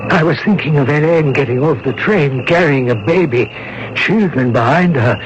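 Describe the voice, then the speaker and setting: An actor playing a steadfast, bold French monsignor in measured, declamatory 1980s radio drama style. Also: male voice, a little high, a crowd in the background, natural voice. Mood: grave. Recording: dull, noisy old radio broadcast.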